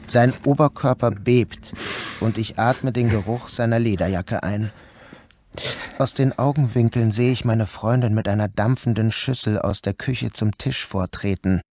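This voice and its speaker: A man playing an anxious, guilt-ridden narrator who tells his own ordeal in a measured, deliberate radio drama style. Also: fairly low pitch, a little mellow. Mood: tense dread. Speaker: narrator and lead actor. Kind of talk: literary first-person radio drama narration.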